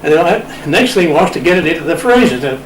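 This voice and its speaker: A man's voice: on the high side, expressive, quick pace. Older Australian man, roomy distant recording. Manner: wry, chuckling raconteur with playful understatement. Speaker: interview guest. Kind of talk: casual anecdotal storytelling. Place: radio shack room. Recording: roomy, distant mic, clear background noise.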